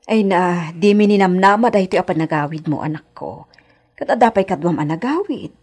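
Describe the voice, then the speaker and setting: An actress with a Filipino Ilocano accent playing a gentle, resigned village mother in a radio drama, with a husky voice. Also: fairly low pitch, animated, a little fast. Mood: surprised, homely.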